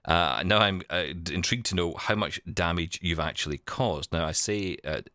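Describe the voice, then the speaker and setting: A man's voice: low-pitched, normal speed, pushed and bright, no echo, clean studio sound. A man hosting a podcast in casual, bantering chat, with a jokey, self-deprecating manner. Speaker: podcast host, broadcaster.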